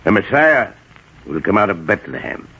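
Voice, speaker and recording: Paranoid bossy king, lowish husky voice, old 1940s radio drama. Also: very animated, normal speed, sharp tone, full character voice. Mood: brooding, suspicious. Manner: brooding, commanding. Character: suspicious, imperious male king fearing plots. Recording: muffled 1940s radio recording.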